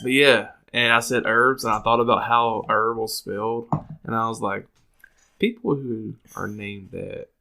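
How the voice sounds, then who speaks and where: A man's voice: fairly low pitch, fairly even intonation, unhurried, creaky, bright, forceful tone. A man co-hosting a podcast, chatting casually and conversationally. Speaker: podcast co-host. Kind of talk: casual chat.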